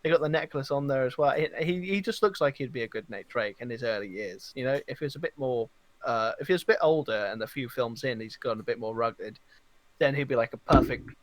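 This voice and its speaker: A man of medium pitch is heard in a dry recording with little room sound. He banters casually as a podcast guest, with a halting, rambling delivery. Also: no room sound.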